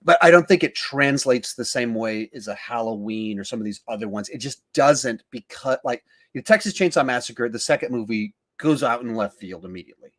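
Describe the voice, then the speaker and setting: A man's voice: mid-range voice, animated, a little fast, a bit bright. Male podcast host, mid-range voice. Casual, discursive talker who stumbles over words while thinking aloud. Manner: rambling, thinking aloud with stammers and repeated words.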